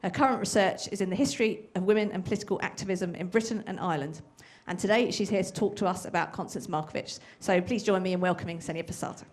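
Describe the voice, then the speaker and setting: A woman archivist welcoming an audience, going through housekeeping notes and introducing the speaker in a businesslike way. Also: on the low side. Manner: brisk, businesslike announcing. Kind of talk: formal event introduction.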